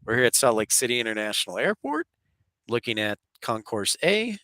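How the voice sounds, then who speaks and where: Bright-voiced man with a head cold. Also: medium pitch, sing-song, fairly quick, dry recording. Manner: chatty, welcoming viewers. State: congested with a head cold, sore throat. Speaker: livestream host, aviation spotter. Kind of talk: casual live stream commentary and chat. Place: live at an airport.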